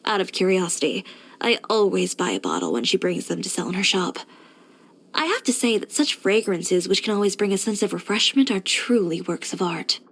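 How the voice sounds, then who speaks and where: A self-assured young boutique tailor from a video game. She is blunt and headstrong yet composed, speaking in a mid-pitched voice with a matter-of-fact, dryly confident delivery. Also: sing-song, moderate pace, a bit bright, breathy edge, exaggerated voice, low-quality recording. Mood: composed, approving. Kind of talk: video game character voice lines.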